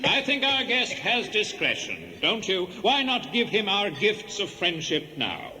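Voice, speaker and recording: A man's voice: high voice, huge pitch swings, measured pace, a crowd in the background, full character voice. An actor playing a genial, fussy host king who repeats himself, in a 1970s radio play. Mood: gracious. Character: genial, fussy, eager host king rallying his guests. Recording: music behind dated radio drama.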